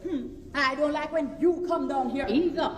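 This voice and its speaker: A woman's voice: high voice, normal speed, lightly acted. An actress playing a haughty, commanding Jamaican wife who scolds and belittles her husband in a comic stage play. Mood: scornful. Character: bossy, snobbish high-earning wife belittling her husband. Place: on a theatre stage before an audience. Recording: echoey theatre hall, distant, noisy audience.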